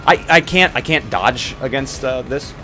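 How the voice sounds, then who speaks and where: A man chatting casually as a tabletop roleplay player, thinking out loud and swearing as he reacts. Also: mid-range voice, lively intonation, normal speed, faint music behind. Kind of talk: casual game chat.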